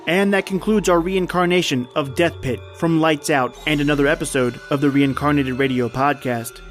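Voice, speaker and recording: A man's voice: fairly high pitch, normal speed, faint music behind. A man speaking as a podcast host, delivering a scripted sign-off announcement.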